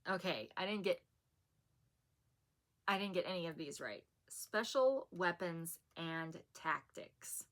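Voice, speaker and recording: A woman chatting to camera in a soft, soothing voice that still carries a bright, sharp edge. She rambles a little, with easy fillers and small laughs, in the relaxed way of a long-time vlogger. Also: on the low side, slow. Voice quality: soft and soothing, said to put people to sleep. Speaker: haul vlogger. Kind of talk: casual chat to camera.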